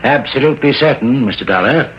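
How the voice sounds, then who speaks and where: An actor playing a humble, admiring rustic fishing captain in a vintage radio drama, talking in a folksy, deferential way. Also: male voice, mid-range voice, expressive, a little fast, close to an everyday voice. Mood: respectful. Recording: dull, noisy vintage radio recording.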